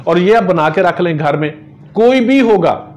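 A middle-aged herbalist speaks earnestly to a fixed camera at his desk, in a slightly raised pitch. He gestures as he walks viewers through a home remedy, slipping religious phrases into his advice. The sound is that of a small office lined with framed photos. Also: lively intonation, normal speed, a little mellow.

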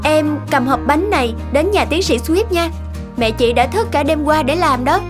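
Very high-pitched friendly cartoon girl. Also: animated, moderate pace. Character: bright, friendly young girl. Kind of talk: animated cartoon dialogue. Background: clear children's music.

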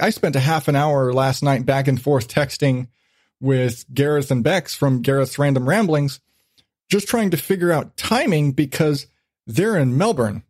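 A man's voice: mid-range voice, darkish tone, dry recording, studio quality. A man hosting a casual podcast chat, rambling along and swearing freely. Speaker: podcast host.

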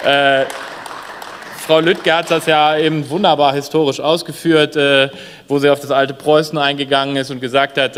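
A man's voice: mid-range voice, fairly bright tone, clear, resonant tone. A man giving a speech in parliament at a lectern. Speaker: member of a state parliament. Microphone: lectern microphones. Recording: long hall reverb of parliament chamber.

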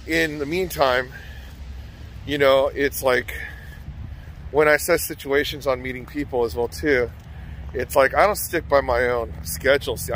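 A man's voice: medium pitch, a bit bright, slight background noise, low-quality recording. A man talking to the camera in a rambling, casual vlog, often checking in with "okay?" and "you know". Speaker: vlogger. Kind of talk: casual walking vlog monologue.

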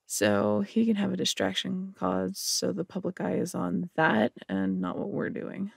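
A serious female police detective played by an actress in an audio drama. Her voice is heavy with vocal fry and husky, delivered in a businesslike way. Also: fairly low pitch, very animated, unhurried, no room sound, studio quality, exaggerated voice.

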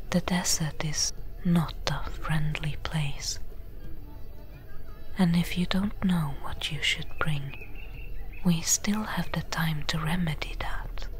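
Casting: actress as seasoned female caravan trader for ASMR roleplay, breathy whisper, low register, very unhurried, friendly and reassuring. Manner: slow, gentle, unhurried. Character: friendly seasoned woman desert trader, reassuring travel companion.

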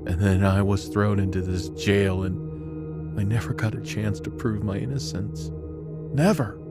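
A man as a low-voiced, sorrowful prisoner. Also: fairly even intonation, measured pace, over loud music. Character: sorrowful wronged imprisoned father. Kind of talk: audio drama acting.

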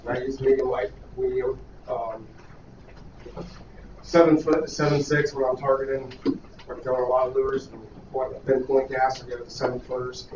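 A man, a charter captain, listing his tackle in a halting casual answer. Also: mid-range voice, level tone, a little mellow, slight background noise. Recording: echoey room, far from mic, dull.

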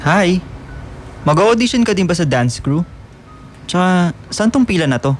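Man playing a high-voiced, teasing high school boy. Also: expressive, a little slow, a little hiss or hum. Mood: friendly. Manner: casual, teasing. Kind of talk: radio drama dialogue. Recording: music under the voice.

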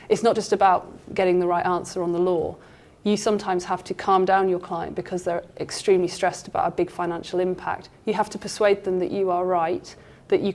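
Young woman, lower pitch, earnest encouraging panel speaker. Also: a little mellow. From Lake District, England. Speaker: barrister. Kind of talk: panel conversation. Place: seminar room with audience.

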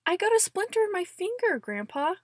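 Woman voicing a high-pitched, complaining storybook grandchild. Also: sing-song, a little fast, no echo, clean studio sound. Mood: urgent complaint. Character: eager young grandchildren pestering their grandpa. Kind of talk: storybook read-aloud.

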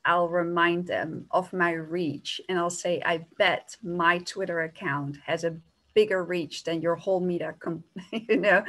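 Frank, animated woman on a video call. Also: lowish voice. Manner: frank and animated, laughing often. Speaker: psychologist panelist. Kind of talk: panel discussion answer. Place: small room indoors.

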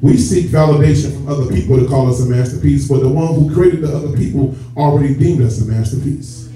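A man's voice: medium pitch, fairly quick, warm tone. Muffled, echoey male preacher from far off. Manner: conversational, addresses listeners directly, stretches key words for emphasis. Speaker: preacher, church elder. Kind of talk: sermon to a congregation. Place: church sanctuary with stage and rows of seats. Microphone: far camera at back of hall.

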